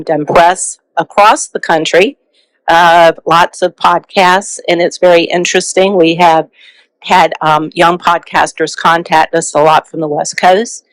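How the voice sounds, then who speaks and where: A woman's voice: lowish voice, no echo, rough recording. A middle-aged woman from South Carolina, an author giving a chatty book talk with frequent fillers.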